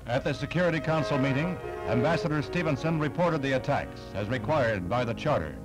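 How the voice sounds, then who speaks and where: A man's voice: medium pitch, lively intonation, a little fast, rough recording. An American man reading scripted 1960s newsreel narration in a dramatic, declamatory manner. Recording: archival 1960s film soundtrack. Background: loud newsreel music.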